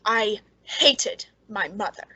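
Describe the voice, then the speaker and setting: A woman's voice: mid-range voice, expressive, takes it slowly, bright, forceful tone, slightly rough, no room sound, heavily acted. A woman playing a lonely, frightened, unstable spinster in an old-time radio suspense drama, with a nervous, intense manner. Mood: bitter, brooding.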